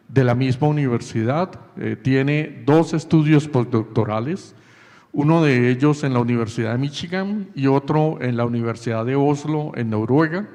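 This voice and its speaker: Slow host guy, lots of ehs, reads a bio, hall echo. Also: medium pitch, darkish tone. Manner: halting, many fillers and restarts. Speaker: event host introducing speaker. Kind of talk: formal introduction.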